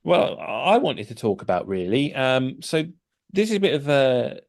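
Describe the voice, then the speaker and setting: A man's voice: medium pitch, sing-song, a little slow. A middle-aged man hosting a casual podcast, chatty and hesitant, with many fillers and restarts. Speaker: podcast host.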